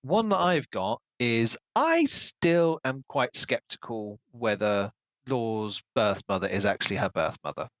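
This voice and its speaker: A man's voice: mid-range voice, lively intonation, unhurried, no room sound. A man co-hosting a casual book discussion, giving his opinions in an analytical way. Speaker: podcast co-host.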